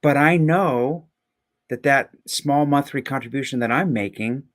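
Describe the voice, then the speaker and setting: A man's voice: medium pitch, unhurried. A man co-hosting a podcast in casual, reflective conversation, earnest and given to repeating words for emphasis. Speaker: podcast co-host.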